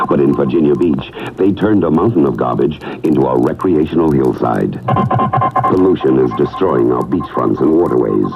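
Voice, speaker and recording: A man with a gravelly voice reading a scripted 1970s radio public service announcement. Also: a bass voice, narrow, tinny audio. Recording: thin band-limited 1970s radio recording.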